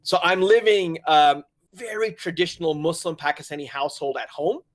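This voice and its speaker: A man in his forties from Hong Kong, a website founder telling his story in a casual interview, animated and laughing often as he acts out his own past thoughts. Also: fairly high pitch, moderate pace. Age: 40s.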